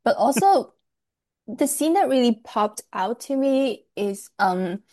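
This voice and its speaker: A woman from Hong Kong talks candidly and with emphasis, laughing easily in casual conversation. Her voice is mellow and warm. The sound is dry, with no room echo. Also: fairly high pitch, animated, takes it slowly. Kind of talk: casual chat.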